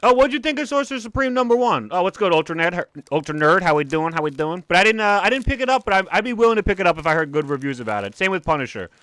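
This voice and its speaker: A young man with a thick New York accent who gets excited fast, talking in a bouncy, cartoon-like way. His voice sits a bit high and sounds bright and forceful. The sound is close and dry, with no room around it. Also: no echo. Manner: excitable, animated, talks like a cartoon character. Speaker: comic collector streamer. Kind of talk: casual livestream chat.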